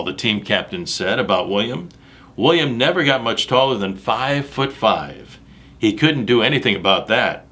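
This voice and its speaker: A man reads a children's story aloud with a very lively, swooping delivery. His voice is bright and forceful at a medium pitch, and he stresses key words dramatically while talking straight to the young listeners. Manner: dramatic, emphatic, addressing young listeners directly. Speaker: storyteller reading a picture book aloud to children. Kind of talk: animated read-aloud with asides about the pictures.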